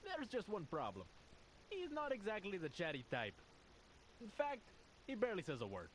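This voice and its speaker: A man acting an earnest, troubled squad officer who confides deliberately in a video game audio log. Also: high voice, huge pitch swings, slight background noise, rough recording. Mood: frustrated, worried.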